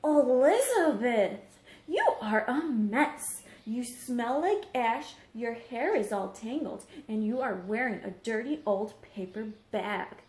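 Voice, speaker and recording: A woman voicing a snobbish, fussy young storybook prince, scolding with drawn-out disdain. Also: on the high side, expressive, darkish tone, reverberant. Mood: disgusted.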